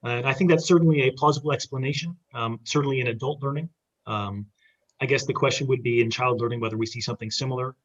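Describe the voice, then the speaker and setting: A man's voice: medium pitch, steady tone, fast talker, dull audio. A man answering academic questions as a researcher, tentative and often correcting himself. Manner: tentative, hesitant, self-correcting.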